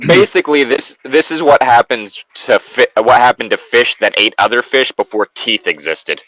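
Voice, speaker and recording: A man's voice: mid-range voice, normal speed, fairly bright tone, no echo, low-quality recording. A man co-hosting a casual group reading, chatting and explaining things with plenty of swearing. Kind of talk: casual banter and reading aloud.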